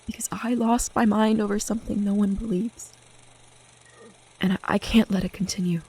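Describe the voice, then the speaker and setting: A woman playing a frightened, protective young seer with a breathy, often whispering voice in an audio drama. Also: mid-range voice, fairly even intonation, normal speed, a little mellow. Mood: tender, resolute. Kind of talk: intense audio drama dialogue.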